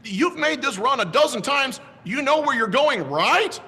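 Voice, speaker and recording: Storybook elf, young and excitable, read by a man, bright and high. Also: unhurried, in a large hall, exaggerated voice. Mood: urgent. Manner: urgent, exclaiming.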